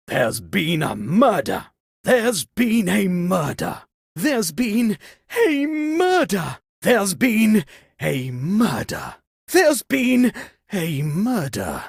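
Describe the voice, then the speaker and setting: A woman's voice: lowish voice, creaky, slightly rough, no echo, clean studio sound, heavily acted. A woman voicing a theatrical, pompous aristocratic party host for a murder-mystery game, with sardonic flair. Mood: grave, dramatic. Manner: theatrical, pompous, drawn-out delivery. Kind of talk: dramatic game voice lines.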